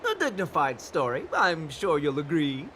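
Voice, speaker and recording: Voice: mid-range voice, very animated, normal speed, a little hiss or hum. An actor voicing an eager, talkative little companion in a video game, with formal, mock-dignified phrasing. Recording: loud music behind.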